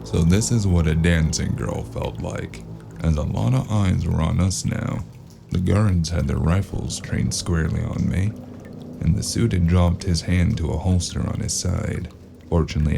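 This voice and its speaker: A man playing a world-weary, wry noir private investigator in an audio drama, with a gravelly voice. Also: sits low, moderate pace, music in the background. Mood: brooding, wry.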